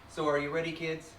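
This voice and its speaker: A man's voice: a little high, a bit bright. A man playing a sly, taunting demon in a theatrical, sinister monologue spoken to the viewer. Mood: sinister, teasing. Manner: slow deliberate delivery with sinister chuckles and sudden swells. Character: sly, taunting male demon possessing a human, theatrical vlogger. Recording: roomy, distant mic with faint noise.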